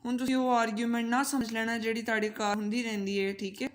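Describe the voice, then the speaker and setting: A woman's voice: a little high, little pitch movement, fast talker. A woman with a Pakistani accent gives an informal explanatory lecture, playful and conversational, mixing languages, her voice run through a voice changer. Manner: playful, code-switching, conversational. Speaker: teacher and channel host.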